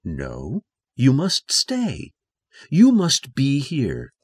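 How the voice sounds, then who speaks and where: Brooding gentleman, wildly swooping voice. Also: mid-range voice, huge pitch swings, husky, no echo, clean studio sound, full character voice. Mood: insistent. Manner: theatrical, intense, deliberate delivery. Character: brooding, passionate English gentleman in love, master of Thornfield. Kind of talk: dramatized classic novel audio.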